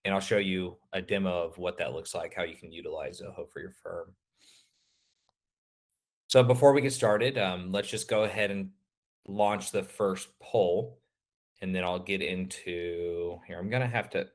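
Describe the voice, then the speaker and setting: A man presenting a webinar, his voice a little raspy and hoarse, talking casually with many fillers. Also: low voice, moderate pace. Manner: casual, filler-heavy, loose explanations. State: slightly hoarse.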